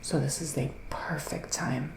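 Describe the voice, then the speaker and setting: A man playing a gentle, theatrical male witch psychic in an ASMR roleplay, speaking in a breathy, sighing, partly whispered hush. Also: a little high, fairly even intonation, a little slow, echoey room, noisy background. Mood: welcoming, hushed. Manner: breathy, sighing, hushed, dramatic. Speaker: ASMR performer.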